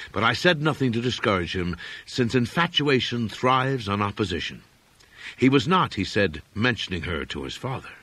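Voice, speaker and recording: A man reading a gothic horror story aloud as an audiobook narrator, in a measured, formal, expressive manner. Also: on the low side, breathy edge, no room sound.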